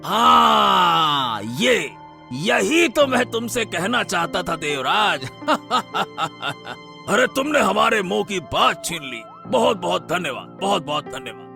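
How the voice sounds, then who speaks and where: A man acts a cartoon folk-tale king, anxious and courteous. He speaks slowly and theatrically in a fairly high, gravelly voice over soft music. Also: very animated, full character voice. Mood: relieved, grateful. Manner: drawn-out, theatrical. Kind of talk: animated Hindi moral-story voice acting.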